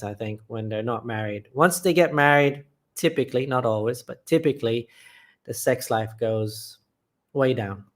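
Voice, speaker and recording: A man from England hosting a live chat, reading viewer comments aloud and answering them with blunt, matter-of-fact opinions. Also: medium pitch. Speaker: channel host.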